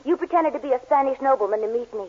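A woman's voice: high-pitched, rapid-fire, breathy edge. A woman playing a spoiled, gold-digging young society woman, coquettish and insistent, in 1940s radio comedy style. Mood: coaxing. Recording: dull, muffled vintage radio recording.

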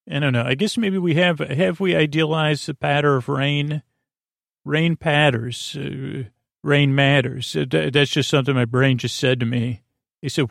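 A man hosting a bedtime podcast in a murmuring drone, meandering and halting as he stammers and repeats words. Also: medium pitch, creaky, no echo, studio quality. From United States. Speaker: podcast host. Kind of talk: rambling bedtime podcast monologue.

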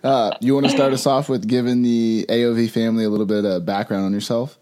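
A man's voice: mid-range voice, steady tone, fast talker, dark tone. A man hosting a podcast, speaking in a friendly, slangy way and calling his guest bro. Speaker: podcast host. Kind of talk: casual interview chat.

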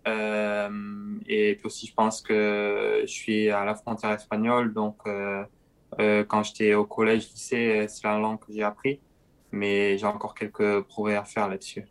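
A young man with a slight southern French accent, answering interview questions in a hesitant way with many pauses and fillers. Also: on the low side, completely flat delivery, smooth. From southern France. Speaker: guest, pro cyclist.

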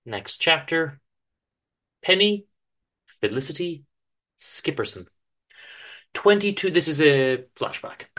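A man giving a dramatic, expressive reading of fiction, with a theatrical storytelling manner. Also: on the high side, slow pace, long pauses. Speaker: author and reader of a story.

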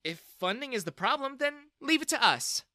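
An eager young woman in an audio story, played by a woman, earnest and encouraging. Her voice is fairly high, bright and animated, recorded close and dry. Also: normal speed, no echo, exaggerated voice.

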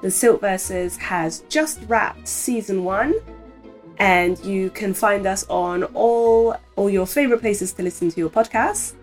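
A woman's voice: mid-range voice, a little slow, faint music behind, poor audio. A woman, an audio drama producer, giving an informal promotional sign-off.

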